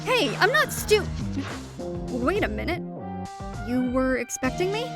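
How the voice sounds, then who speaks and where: This is a woman acting as an anxious young goat girl in a fantasy audio drama. The voice is very high, earnest and polite, often hesitant, over clearly heard music. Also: big pitch swings, slow, heavily acted. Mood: confused.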